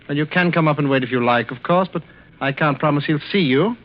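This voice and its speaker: An actor in 1940s radio style playing a scheming, falsely tender husband who speaks politely, with unctuous, measured control. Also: male voice, a little high, lightly acted. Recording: muffled old radio recording, faint noise.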